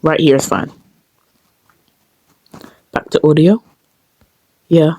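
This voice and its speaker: A woman's voice: low voice, slow, background noise. A woman talking casually, half to herself, while she works on editing a video. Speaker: video creator editing a comic dub. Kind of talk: casual muttering to self while working.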